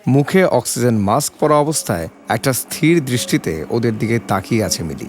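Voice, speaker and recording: A man's voice: mid-range voice, animated, normal speed, slight background noise. A man giving a dramatic scripted narration of an audio story.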